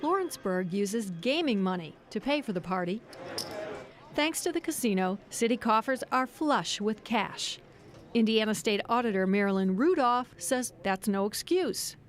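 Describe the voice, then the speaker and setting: A woman's voice: medium pitch, animated, normal speed, soft background music. American woman, investigative TV news reporter. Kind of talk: scripted TV news report with pointed interview questions.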